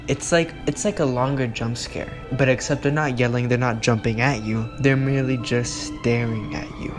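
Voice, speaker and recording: A man giving an informal video essay commentary in an earnest, conversational, rambling manner. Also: medium pitch. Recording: music under the voice. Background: music.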